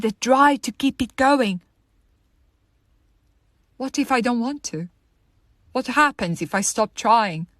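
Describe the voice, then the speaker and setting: Actress as lonely young woman, troubled, bitter, introspective, halting conversational delivery, audio drama monologue, dry acoustic. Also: on the low side, dry recording.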